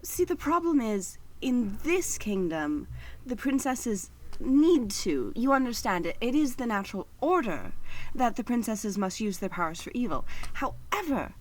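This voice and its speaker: A woman's voice: fairly high pitch, very animated, unhurried. A woman playing a sly evil queen in a comedy audio drama, coaxing with false sweetness and stately airs. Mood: sly, persuasive.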